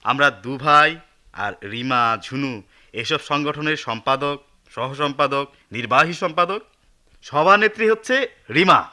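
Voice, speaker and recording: A man's voice: fairly high pitch, unhurried, sharp tone. A man playing an earnest, modern-minded young college son in a radio drama, reasoning persuasively with a Bangladeshi accent. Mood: earnest protest. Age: young adult.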